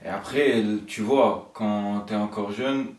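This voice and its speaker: Distant, echoey low-voiced young man. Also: steady tone, takes it slowly, a little mellow, faint music behind. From born in Italy, raised in Luxembourg from age nine. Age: young adult. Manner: informal, frequent "you know" tags. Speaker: guest, professional footballer. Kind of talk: casual interview answers, telling own story. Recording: roomy echo, distant from the mic.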